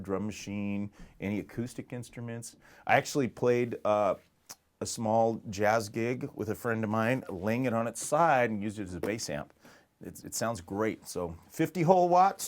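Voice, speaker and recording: A middle-aged American man giving a casual, unscripted product demonstration in a chatty, rambling, conversational way. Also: fairly low pitch. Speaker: music store product presenter.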